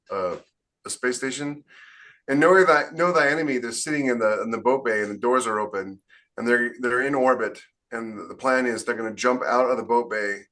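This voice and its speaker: A man's voice: mid-range voice, bright, forceful tone. A man co-hosting a podcast as a science fiction author, chatting casually and enthusiastically in rambling explanations. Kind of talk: casual panel chat.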